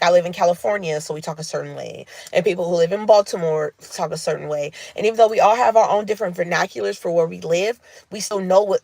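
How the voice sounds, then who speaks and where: A woman vlogger talking in a loose, rambling monologue full of fillers, her voice lowered from smoking cigars. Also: vocal fry, no echo.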